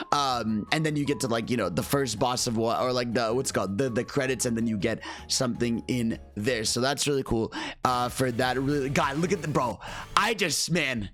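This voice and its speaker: A man giving casual, excited video commentary in a slangy, rambling, gushing fan style over music. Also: mid-range voice. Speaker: gaming video creator.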